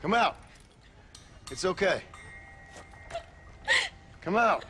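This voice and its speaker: A man's voice: high-pitched, expressive, extremely slow, sharp tone, a little hiss or hum, exaggerated voice. A man voicing a frontier gunslinger who speaks with a dry, wry drawl. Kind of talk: video game cutscene acting.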